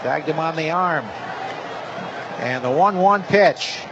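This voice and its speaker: High-voiced man calling baseball over stadium noise. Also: takes it slowly, lo-fi sound, crowd noise. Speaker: baseball play-by-play announcer. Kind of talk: live radio baseball play-by-play. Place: stadium broadcast booth. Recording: steady stadium noise behind voice. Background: loud ballpark ambience.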